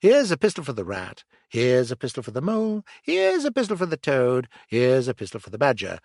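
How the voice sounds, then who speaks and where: A man's voice: sits high, sing-song, moderate pace, fairly bright tone, no echo, clean studio sound, voice pushed up. A man reading aloud and voicing a busy, nervous male water rat in a storybook. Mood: fussy. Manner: fussy and nervous.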